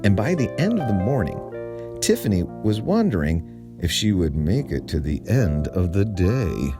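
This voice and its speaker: A man reading a humorous story aloud in an expressive, theatrical way with deliberate phrasing. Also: low voice, music in the background.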